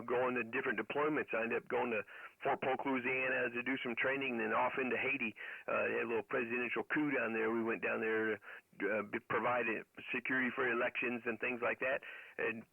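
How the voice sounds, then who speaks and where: Middle-aged man, gravelly, over a phone-like radio. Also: medium pitch, steady tone, quick pace, no room sound. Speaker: amateur radio operator. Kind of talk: casual storytelling over ham radio. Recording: narrow phone-like radio sound.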